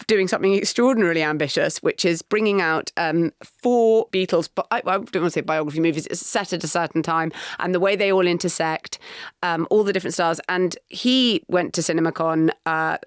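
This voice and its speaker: Podcast co-host, female, lower register, chatty and loose, dry studio mic. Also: clean tone, no echo. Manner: chatty, many restarts and fillers. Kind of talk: casual chat.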